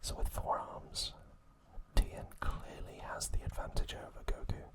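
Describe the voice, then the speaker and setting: Man whispering slowly, ASMR style. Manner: hushed, unhurried, deliberate pauses. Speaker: ASMR host. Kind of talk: whispered ASMR, recapping episodes.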